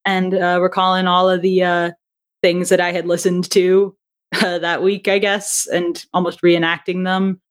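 A woman's voice: lowish voice, level tone, measured pace. A woman chatting casually as an interview guest, rambling a little hesitantly and correcting herself as she goes. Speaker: podcast guest, audio drama creator.

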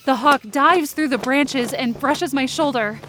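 High-voiced anxious young woman. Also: measured pace, a bit bright, faint music behind. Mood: panicked. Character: anxious young woman narrating her frightening night hike. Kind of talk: first-person scripted dramatic narration.

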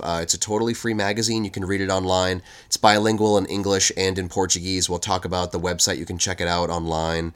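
A man hosting an interview podcast, talking casually with many fillers and restarts. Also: on the low side. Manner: relaxed, filler-heavy, conversational. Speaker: podcast host.

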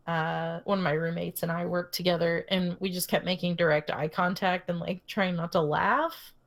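A woman's voice: on the low side, fairly even intonation, normal speed, creaky. A young woman co-hosting a podcast, casually telling a long story full of fillers and restarts, often laughing. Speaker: podcast co-host. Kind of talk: casual chat.

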